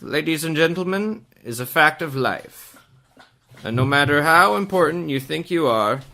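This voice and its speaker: Sponsor spot: blunt, solemn executive played by a man, slow delivery, bright forceful tone. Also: on the high side, creaky, exaggerated voice. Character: blunt, solemn male insurance executive giving a sponsor's pitch. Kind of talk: scripted radio advertisement.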